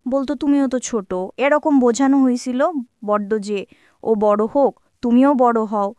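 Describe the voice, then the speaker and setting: A woman's voice: fairly high pitch, fairly even intonation, a little slow, darkish tone, clear, resonant tone, no room sound, muffled recording. A woman of twenty-three with a Bangladeshi Bengali accent, telling her personal life story as an interview guest.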